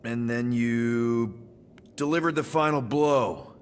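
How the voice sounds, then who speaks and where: An actor playing a casual, wisecracking space adventurer in a video game, with an informal, flippant way of talking. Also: male voice, mid-range voice, slow, a little hiss or hum, close to an everyday voice. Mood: skeptical. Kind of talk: video game cutscene acting.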